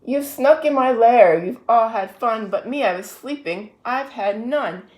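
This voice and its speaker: A woman voicing a grumpy, self-pitying bear in a children's storybook, complaining loudly. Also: a little high, animated, a little slow, echoey room, low-quality recording. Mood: aggrieved, cross. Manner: loud, aggrieved complaining.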